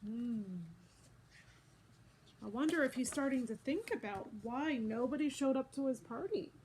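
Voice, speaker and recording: A woman with a high voice reads a children's picture book aloud. She is expressive and unhurried, speaking directly to young listeners as an interactive storyteller. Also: a little hiss or hum, poor audio. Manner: expressive, addresses listeners with questions.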